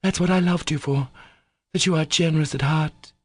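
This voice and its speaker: A man's voice: medium pitch, moderate pace, vocal fry, a little mellow, no echo, voice pushed up. A man reading the lines of a passionate, wounded young Russian woman, who speaks in breathless, emotional pleas. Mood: impassioned.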